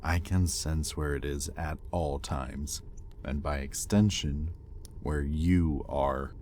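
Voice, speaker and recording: Man playing a very deep-voiced, exasperated demon master. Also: steady tone, darkish tone, slight background noise. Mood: exasperated, demanding. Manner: sardonic, exasperated, with pointed pauses. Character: exasperated demon master, possessive, sardonic, grudgingly caring. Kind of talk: intimate audio roleplay, dramatic monologue.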